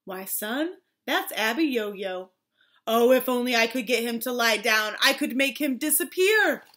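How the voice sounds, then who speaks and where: A woman plays a magician dad from a storybook, speaking to his boy. The delivery is measured and dramatic, drawn out slowly with a bright edge. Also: fairly high pitch, animated. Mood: grave, wishful. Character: magician father, grown man talking to his son. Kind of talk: children's storybook telling.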